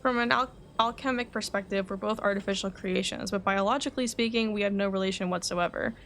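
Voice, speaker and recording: A woman reading aloud the game lines of a composed, cerebral alchemist in a formal, measured manner. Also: medium pitch, gravelly, fairly bright tone. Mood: calm, reflective. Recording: faint background noise.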